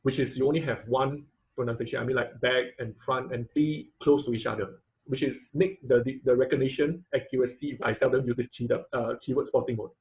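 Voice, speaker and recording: Halting man teaching an online class. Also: mid-range voice, fairly even intonation, a little mellow, poor audio. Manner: halting, repeats phrases, often seeks confirmation with "okay" and "right". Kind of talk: informal live technical demonstration lesson.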